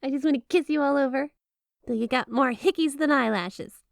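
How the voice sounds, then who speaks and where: A woman's voice: sits high, brisk, gravelly, no echo, clean studio sound. A woman playing a flirty, obsessive yandere wife in an ASMR roleplay, gushing and coy with a possessive edge under the sweetness. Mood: gushing, adoring. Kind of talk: ASMR roleplay, addressing the listener as spouse. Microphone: close, dry microphone.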